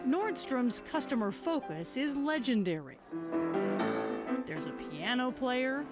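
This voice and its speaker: A woman's voice: high-pitched, animated, takes it slowly, loud music behind the voice. An American woman working as a news correspondent, reading scripted broadcast narration and putting questions in interviews.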